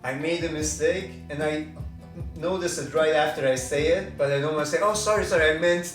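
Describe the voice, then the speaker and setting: A man's voice: a little high, brisk, soft background music. A man from Iran, a guest comedian chatting casually on a podcast, self-deprecating and loose, with frequent "like" and "right?". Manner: laid-back, self-deprecating, lots of "like" and "right?". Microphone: room mic away from speaker. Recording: roomy, distant mic.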